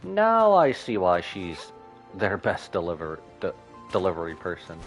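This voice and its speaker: A man's voice: low voice, wildly swooping pitch, unhurried, faint music behind. A man giving casual live gameplay commentary as a streamer, thinking aloud and reacting with exclamations.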